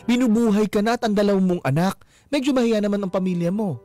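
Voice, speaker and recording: A man's voice: sits high, fast talker, mellow. A Filipino man hosting a radio call-in show, giving emphatic, blunt, scolding advice in casual talk. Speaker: radio host. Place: radio studio.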